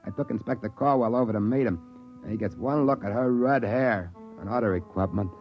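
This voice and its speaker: A man's voice: fairly low pitch, brisk, close to an everyday voice. An actor playing an easygoing, wry trading-post assistant manager, telling his story colloquially in a 1950s radio drama. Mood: wry, storytelling. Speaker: lead actor and narrator. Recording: dull 1950s radio recording with music.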